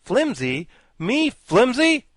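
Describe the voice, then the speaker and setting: A man's voice: fairly high pitch, sing-song, normal speed, fairly bright tone, dry recording, studio quality, pitched above the performer's own voice. A man voicing a prim, easily offended pumpkin-headed wooden man. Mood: indignant.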